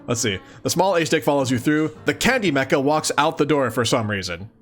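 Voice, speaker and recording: Man, slightly high voice, very animated, playful livestream read-aloud, chatty, exclaiming, faint guitar backing. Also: brisk. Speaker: streamer. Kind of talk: casual livestream commentary and read-aloud of a webcomic.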